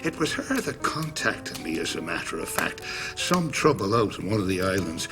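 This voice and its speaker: Deep-voiced, grave priest. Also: male voice, big pitch swings, over music. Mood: reminiscing, solemn. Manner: measured, formal storytelling. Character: grave, devout older priest recounting eerie events. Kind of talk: dramatic video game voice acting.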